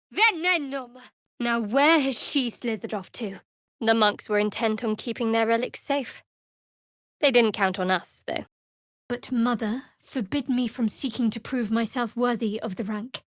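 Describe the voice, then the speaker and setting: A woman playing a guarded, wry fantasy cleric adventurer in a video game, with a dry, measured, faintly sardonic delivery. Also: on the high side, dry recording. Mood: casting spells, then measured, reflective.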